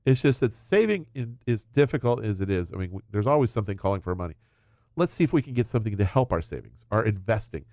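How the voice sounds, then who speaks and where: A man's voice: lowish voice, sing-song, fast talker, a little mellow, no echo, studio quality. A middle-aged man, a financial advisor, explaining retirement investing in casual chat with hypothetical examples.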